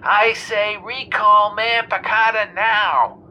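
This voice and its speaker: A shrill, ranting woman caller, put on by a male storyteller, pitched very high and sharp. She comes through slightly distant, over a faint hiss. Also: steady tone, slow pace, exaggerated voice.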